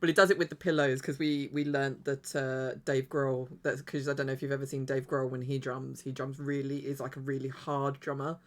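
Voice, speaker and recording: A woman's voice: extremely low pitch, little pitch movement, fairly quick, hardly any pauses. A woman hosting a casual gaming livestream, chatting and giggling with a friend over voice chat at home. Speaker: livestream host.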